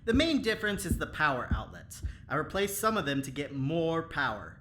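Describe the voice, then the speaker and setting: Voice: mid-range voice, sing-song, normal speed, fairly bright tone, slight background noise. An actor playing an eager, easygoing lab engineer in an audio drama, talking in a chummy, folksy way. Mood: casual, explaining. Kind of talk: scripted audio drama acting.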